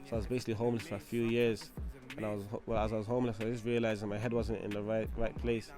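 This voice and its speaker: A man's voice: on the low side, level tone, very fast, music in the background. A man, talking about his life as a rapper in a loose, chatty way. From Angola. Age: 20s. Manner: loose and chatty, leaning on "you know what I mean" and "obviously". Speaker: rapper telling his story. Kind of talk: casual documentary talk.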